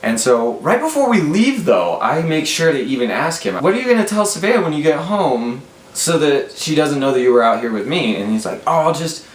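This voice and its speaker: A man's voice: fairly high pitch, big pitch swings, a little fast. A man in his twenties with an American accent, telling a story casually and animatedly, quoting dialogue as he goes. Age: 20s. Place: small room at home, indoors. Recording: roomy echo, faint background noise.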